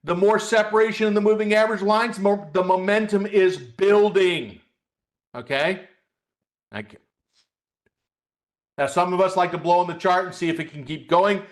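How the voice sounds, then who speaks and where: A man's voice: sits high, moderate pace, long pauses. A man hosting a live trading webcast as a coach, talking through market charts in a conversational, folksy way, addressing viewers directly and often checking in with a short tag question. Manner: conversational, repeats "okay?" as a check.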